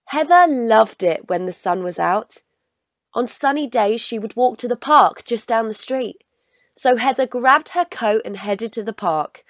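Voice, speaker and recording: Female storybook reader, fairly high voice, unhurried delivery, expressive, very dry close sound. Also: no room sound. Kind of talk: scripted children's story read-aloud.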